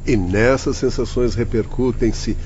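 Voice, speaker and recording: An actor playing a kindly old spirit doctor in an audiobook drama, patiently explaining and encouraging in a Brazilian accent. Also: male voice, mid-range voice, unhurried, a little mellow, lightly acted. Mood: explanatory. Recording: muffled, faintly noisy recording.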